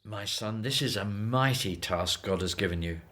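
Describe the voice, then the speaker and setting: Deep-voiced, grave old father. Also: moderate pace, sharp tone. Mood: solemn, concerned. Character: Amram, grave, devout elderly father. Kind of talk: radio drama acting.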